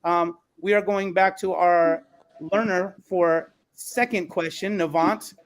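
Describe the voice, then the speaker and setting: A man's voice: high-pitched, fairly even intonation, slow pace, low-quality recording. A man hosting and moderating an online panel discussion, speaking in an articulate, rhetorical way. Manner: articulate, rhetorical, emphatic listing. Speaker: podcast host and moderator. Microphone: online call.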